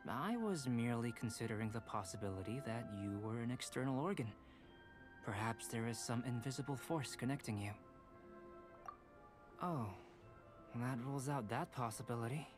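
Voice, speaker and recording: A man voicing a calm, curious young alchemist in a video game, speaking in a measured, formal, detached and analytical way. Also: medium pitch, music in the background, close to an everyday voice. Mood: curious, deadpan. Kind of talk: video game character acting.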